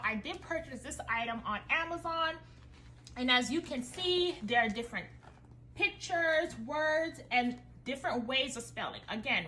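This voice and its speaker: Bright-voiced upbeat homeschooling mom. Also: female voice, fairly high pitch, slight background noise, poor audio. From Haiti. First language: French. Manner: upbeat, enthusiastic, friendly. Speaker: homeschooling mother and vlogger. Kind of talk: casual curriculum review vlog.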